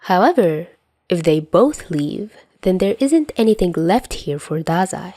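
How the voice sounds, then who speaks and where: A woman reading fiction aloud as an expressive storyteller. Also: lowish voice, unhurried, no echo, clean studio sound. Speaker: podfic narrator.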